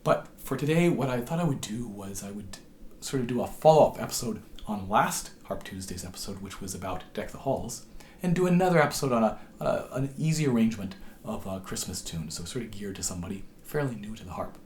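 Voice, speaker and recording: A hoarse man with a cold, patiently explaining how to play a tune as a harp teacher, his voice sometimes dropping to a whisper. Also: on the low side, animated, brisk, darkish tone, slight background noise. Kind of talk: instructional tutorial.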